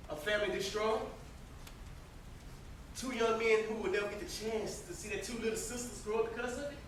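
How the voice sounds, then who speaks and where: A man's voice: sits high, steady tone, a little fast, lightly acted. A young man acting in amateur stage drama as an accusing, wounded brother in grief, with an emphatic manner. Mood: weary grief. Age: young adult. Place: indoor stage, recorded from a distance. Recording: very echoey, noisy stage recording from far off.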